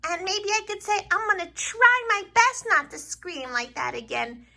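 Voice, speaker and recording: A woman voicing an excitable, earnest little girl puppet in a children's show, gushing and hesitant in a childlike way. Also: very high-pitched, normal speed, creaky, exaggerated voice.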